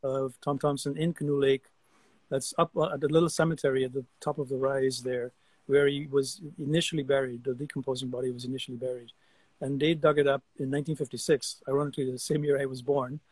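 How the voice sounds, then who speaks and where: A man's voice: medium pitch, level tone, quick pace, no echo. A man in his sixties from Guyana, a guest artist chatting animatedly on a video call, often repeating his words. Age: 60s. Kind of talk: casual video-call conversation. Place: outdoor deck among trees. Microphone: video call webcam.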